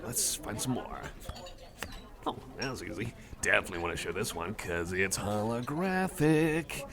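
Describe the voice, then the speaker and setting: A man acts out a nerdy, good-natured cyborg with a lowish, gravelly voice. He rambles through his geeky hobby in a casual ASMR roleplay, hesitant and quick to apologize, with cafe chatter clearly heard behind him. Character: friendly, nerdy, rambling male cyborg card enthusiast. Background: busy cafe chatter.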